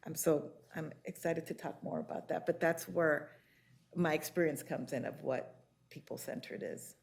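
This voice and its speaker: Gravelly-voiced panelist, casual phrasing. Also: medium pitch, moderate pace, a little mellow. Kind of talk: panel discussion remarks.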